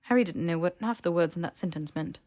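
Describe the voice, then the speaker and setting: A woman reading a story aloud as an audiobook narrator, with expressive scripted delivery. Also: lowish voice, fast.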